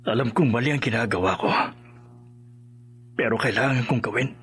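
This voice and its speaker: An actor playing a desperate undead serial killer in a radio horror drama, delivering a brooding, melodramatic monologue. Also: male voice, medium pitch, normal speed, fairly bright tone, breathy edge. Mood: brooding, guarded.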